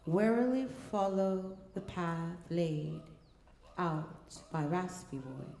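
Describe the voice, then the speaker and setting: Very slow, echoey woman reading a story. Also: lowish voice, vocal fry, darkish tone, slight background noise. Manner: measured, deliberate, phrases broken by pauses. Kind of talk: scripted story reading with chapter headings. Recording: echoey room, faint music behind.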